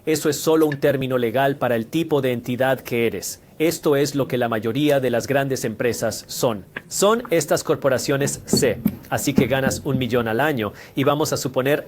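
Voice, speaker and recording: A man's voice: mid-range voice, normal speed, a little hiss or hum. A man giving a methodical finance lesson, walking through tax brackets and figures step by step. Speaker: finance educator.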